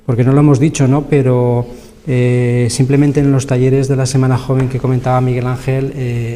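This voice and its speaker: A man's voice: medium pitch, warm tone. A man with an accent from Spain giving wordy, explanatory interview answers as an event coordinator. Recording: reverberant hall, clear background noise.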